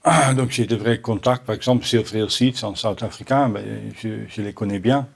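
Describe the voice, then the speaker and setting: A middle-aged man from the Netherlands with a Dutch accent, answering audience questions at a conference in halting French full of restarts. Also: medium pitch, breathy edge. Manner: halting, many restarts and repeated words. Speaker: botanical garden owner answering questions. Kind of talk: Q&A after a conference talk.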